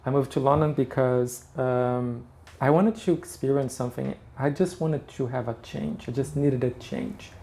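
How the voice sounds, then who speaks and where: Middle-aged brazilian guy, gravelly and warm, chatty, keeps restarting sentences. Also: medium pitch, fairly even intonation, moderate pace. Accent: Brazilian Portuguese. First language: Portuguese. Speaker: interior designer guest. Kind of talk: casual interview chat.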